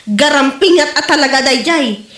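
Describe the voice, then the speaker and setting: Casting: actress as nosy Ilocano village wife, high-pitched, mocking and gossipy, comedy drama, echoing room. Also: female voice, expressive, normal speed, a bit bright. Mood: gleeful gossiping. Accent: Ilocano Filipino. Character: nosy, gleeful village gossip, a married woman. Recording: roomy, echoing audio.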